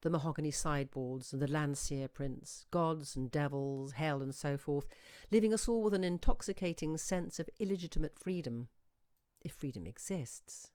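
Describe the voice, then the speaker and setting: A woman reading a literary short story aloud in a reflective, deliberate way, with expressive pauses and emphasis. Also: low-pitched, no room sound. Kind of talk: expressive literary audiobook narration.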